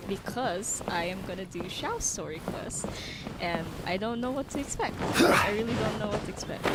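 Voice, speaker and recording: A woman's voice: medium pitch, very noisy. A woman streaming a video game, chatting casually and slangily with her viewers and laughing often between sentences. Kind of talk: casual live-stream chat.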